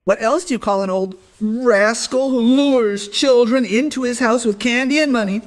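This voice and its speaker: An actress playing a spiteful, gossipy middle-aged wife in a crime radio play, scornful and sarcastic. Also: female voice, fairly high pitch, normal speed, lightly acted. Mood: scornful, accusing.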